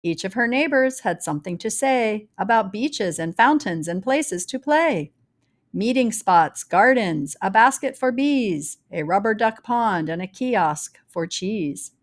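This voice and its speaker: A woman reads a children's picture book in rhyme. Her pitch sits in the middle for a woman. She tells it expressively and deliberately, leaning on key words for dramatic effect. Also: lively intonation. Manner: dramatic, deliberate storytelling with varied emphasis. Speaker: storybook reader.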